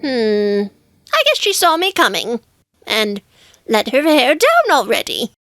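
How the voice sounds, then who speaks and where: Casting: scheming, vengeful cartoon witch, theatrical, very high pitch, swooping intonation. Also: huge pitch swings, a little slow, music in the background, exaggerated voice. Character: evil witch, greedy for gold, vengeful and scheming. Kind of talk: animated fairy tale character acting.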